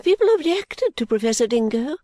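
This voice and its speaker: A woman's voice: fairly high pitch, big pitch swings, brisk, dark tone, dry recording. A woman reading an audiobook, voicing a proud, self-assured middle-aged lady who lectures at length. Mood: lecturing.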